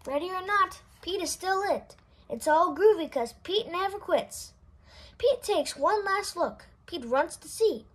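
Very high, animated woman reading children's stories. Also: measured pace. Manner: expressive, sing-song delivery of rhymes. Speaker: storybook reader. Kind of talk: children's read-aloud narration.

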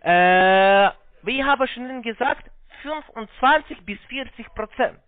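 Man, foreign accent, non-native German, high voice, halting polite telesales pitch, narrow phone-line sound. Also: expressive, no room sound. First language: not German. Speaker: call center investment salesman on a scam call. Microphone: phone call.

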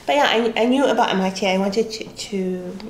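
A woman's voice: mid-range voice, a little fast. A woman from Syria, Arabic her first language, answering interview questions thoughtfully in an office, restarting often. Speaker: interviewee, computer scientist. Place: office with desk, indoors. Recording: roomy office echo, faint hiss.

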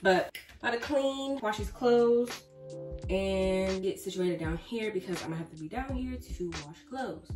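A woman's voice: medium pitch, a little slow. A woman in her twenties with an American accent chats casually and informally to camera in slangy language while doing chores at home. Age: 20s. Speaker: home and cleaning vlogger. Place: inside house rooms, bedroom and basement. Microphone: camera or phone set at a distance. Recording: roomy home echo, distant mic, background music. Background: music.

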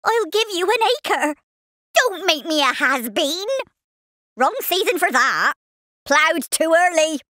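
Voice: extremely high pitch, expressive, takes it slowly, bright, forceful tone, dry recording, studio quality, heavily acted. A performer voicing a cheeky, easily riled cartoon farmer worm for a video game, with a rustic English West Country accent and exclamatory delivery. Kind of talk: exaggerated video game voice barks.

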